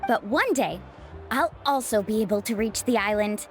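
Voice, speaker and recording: A woman's voice: medium pitch, lively intonation, moderate pace, faint music behind, natural voice. A woman voicing an earnest young female guide who carefully explains her duties.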